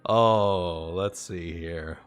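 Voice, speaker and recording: A man's voice: low-pitched, extremely slow, clear, resonant tone. A man chatting casually with a group on a livestream, swearing freely. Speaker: game master. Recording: music playing behind the voice. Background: music.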